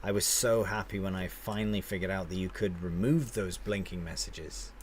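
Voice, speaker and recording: A game streamer with a fairly low voice talks with viewers in a loose, rambling way. He answers chat with easygoing, friendly banter. Faint ambient noise sits behind him. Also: moderate pace.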